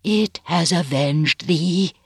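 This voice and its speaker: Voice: mid-range voice, slow, gravelly, breathy edge, no room sound, clean studio sound, voice pushed up, full character voice. A reader voicing an anguished but resolute, dignified woman with solemn, archaic, pleading speech in a storybook reading.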